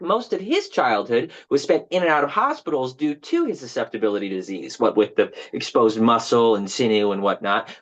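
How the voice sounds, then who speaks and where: A bitter male park guide performed by an actor holds forth in a theatrical monologue. His pitch leaps about expressively as he chats with passive-aggressive, mock-informative zeal. The audio is slightly muffled. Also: natural voice. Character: bitter, jilted, just-fired male park tour guide. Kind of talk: dramatic monologue reading as a tour speech.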